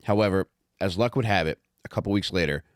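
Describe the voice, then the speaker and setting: A man narrating a comic memoir audiobook in a wry, measured, profane way. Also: deep voice, no echo, clean studio sound.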